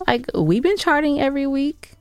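A woman's voice: sits high, lively intonation, moderate pace, dry recording, faint music behind. An American woman co-hosting a podcast in casual, unscripted banter, chatty and informal. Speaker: podcast co-host.

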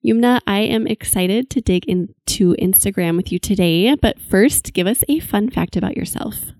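A woman's voice: mid-range voice, moderate pace, darkish tone, no room sound, clean studio sound. A woman hosting a podcast, reading promos and introducing a guest with bubbly enthusiasm. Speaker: podcast host. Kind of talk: podcast ads and interview.

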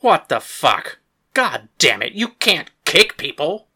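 A woman's voice: rumbling low, a little slow, vocal fry, bright, forceful tone, voice pushed down, full character voice. A woman voicing an angry, brutish male boxing champion who curses and blusters. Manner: cursing, blustering.

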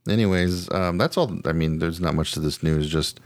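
A man's voice: low-pitched, normal speed, no echo, studio quality. A man hosting a podcast, chatting casually about odd news in a slangy, joking, profane way. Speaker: podcast host. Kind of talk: casual news chat with a co-host.

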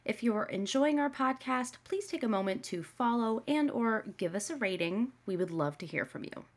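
A woman's voice: on the high side, expressive, moderate pace. A young adult woman hosting a solo podcast, talking earnestly and conversationally with a slightly nasal voice from a cold. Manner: earnest, conversational, with frequent "right?" and "you know". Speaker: podcast host. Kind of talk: casual solo podcast talk.

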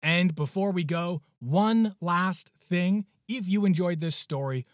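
A man's voice: high-pitched, slow, no echo, clean studio sound. A man speaking as a podcast host, giving a casual outro.

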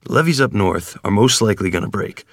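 A man's voice: fairly low pitch, animated, fast talker, no echo, clean studio sound. A man playing a gritty, determined 1920s river ferryman in a screenplay table read, terse and commanding. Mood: tense, stern.

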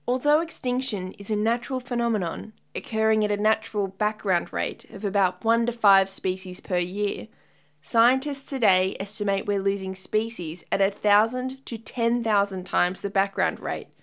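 A woman playing an earnest scientist giving a measured, educational conference lecture in an audio drama. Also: mid-range voice, close to an everyday voice. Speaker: scientist presenter.

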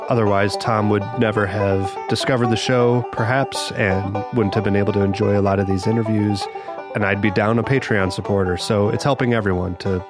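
Podcast host guy, hoarse from a cold, kinda low voice, easygoing with little restarts, banjo music going behind. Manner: easygoing, thinks aloud with small restarts. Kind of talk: casual spoken podcast intro.

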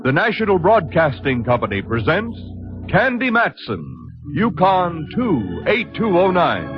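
A man's voice: sits high, very animated, unhurried. A man announcing a radio show in a formal 1950s broadcast style. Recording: muffled radio recording under loud music.

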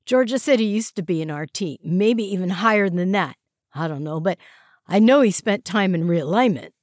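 A man's voice: extremely high pitch, expressive, takes it slowly, creaky, a bit bright, no echo, clean studio sound, voice pushed up, exaggerated voice. A woman voicing a terrified captive man who stammers and pleads. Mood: desperate. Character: terrified captive young man blurting secrets.

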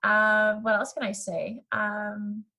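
Husky French-accented woman in her thirties. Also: medium pitch, little pitch movement, takes it slowly, bright, forceful tone. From Canada. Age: 30s. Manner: chatty, many fillers, laughs easily. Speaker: podcast guest, graphic designer. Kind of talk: casual interview chat.

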